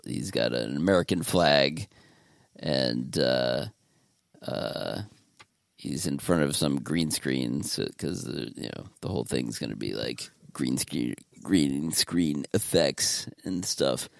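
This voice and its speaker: A man's voice: rumbling low, lively intonation, takes it slowly, vocal fry, dry recording, studio quality. A man hosting a podcast in casual chat, talking in a laid-back, meandering way. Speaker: podcast host.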